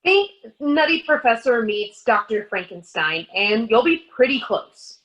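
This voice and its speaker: A woman's voice: a little high, big pitch swings, a little slow, fairly bright tone, low-quality recording. A teenage superhero fangirl. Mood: wistful. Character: 15-year-old superhero fangirl. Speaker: actor in a staged reading. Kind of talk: staged play reading over video call. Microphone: video call.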